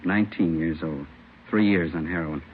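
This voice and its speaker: An actor playing a plain-spoken, grave police narcotics captain, brisk and businesslike, in a 1950s radio drama. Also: male voice, sits low, lightly acted. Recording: muffled old radio recording, faint noise.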